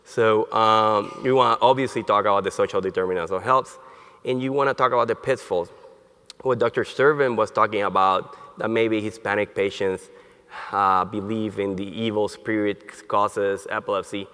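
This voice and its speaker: A man born in Colombia and raised in Puerto Rico, giving a conference lecture in a halting way with many fillers and restarts. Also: on the low side, steady tone, a bit bright, clean tone. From born in Colombia, grew up in Puerto Rico. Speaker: neurologist, conference speaker. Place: conference hall. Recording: long hall reverb.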